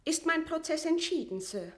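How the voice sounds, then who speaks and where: A woman's voice: extremely high pitch, very animated, normal speed. An actress playing a proud, demanding imprisoned queen in a classical radio drama, speaking in formal, declamatory verse. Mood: urgent, defiant. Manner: formal, declamatory, precisely spoken.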